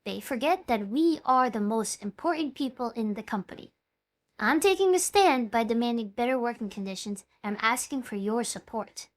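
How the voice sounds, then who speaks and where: A woman playing a defiant, forceful young female online star rallying her colleagues, in dramatic dialogue. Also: on the high side, expressive, normal speed, lightly acted. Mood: firm, rallying.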